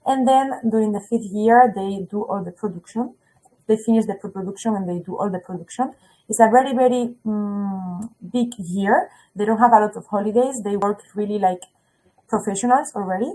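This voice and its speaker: A woman's voice: mid-range voice, normal speed, warm tone, low-quality recording. A woman who speaks as a presents a school webinar on a video call in English, hesitating often with fillers.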